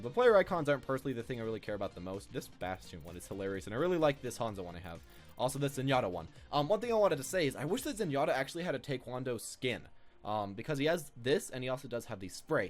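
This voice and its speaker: Casting: young male gaming creator, chatty and rambling, rapid delivery with lively intonation. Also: on the high side. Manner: rambling, informal. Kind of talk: casual game commentary.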